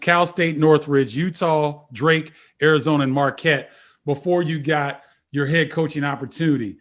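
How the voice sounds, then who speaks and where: A man's voice: on the high side, fairly bright tone. A man hosting an interview show, asking long questions and giving short affirmations. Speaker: show host.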